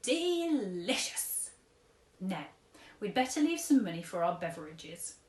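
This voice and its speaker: A woman voicing a kindly, wise old man who talks gently in an old-fashioned way, in an echoey room. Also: medium pitch, sing-song, a little slow. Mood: cheerful.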